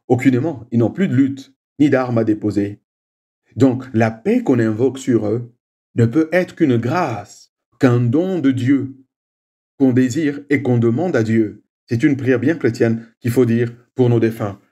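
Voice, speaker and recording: A man whose first language is Swahili, leading a prayer and giving a slow, measured homily with frequent little "hein" asides. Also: medium pitch, dark tone, slightly rough. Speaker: preacher.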